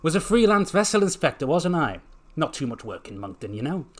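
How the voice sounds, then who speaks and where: A man's voice: fairly high pitch, very animated, fairly quick, voice pushed up. A man voicing a cheeky female investigator in a story, with a chirpy, casual, inquisitive manner. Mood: breezy.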